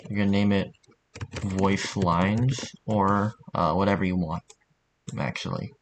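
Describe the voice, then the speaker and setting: A man with a fairly low voice walks through a tutorial step by step. He speaks informally and offhand, like someone casually showing a friend how it is done. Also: steady tone, unhurried, a little hiss or hum. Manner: informal, offhand, mildly sweary. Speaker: tutorial narrator.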